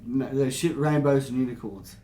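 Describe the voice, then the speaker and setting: A man's voice: mid-range voice, moderate pace, mellow. An Australian man co-hosting a podcast, chatting casually and giving blunt, sweary opinions. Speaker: podcast co-host. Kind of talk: casual banter between mates.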